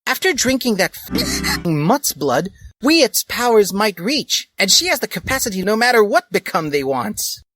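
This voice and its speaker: A man's voice: high-pitched, huge pitch swings, normal speed, fairly bright tone, no room sound, pitched above the performer's own voice, exaggerated voice. A man voicing a bossy, scornful teenage girl who leads the group, in a mocking reading of a comedic story. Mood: mocking shrill.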